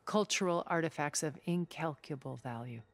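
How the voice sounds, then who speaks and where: An actress playing a poised, secretive, long-lived woman in a video game cutscene, speaking in a measured, formal, eloquent way. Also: deep voice. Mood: wistful, tender. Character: poised, secretive thousand-year-old woman, refined space colonist.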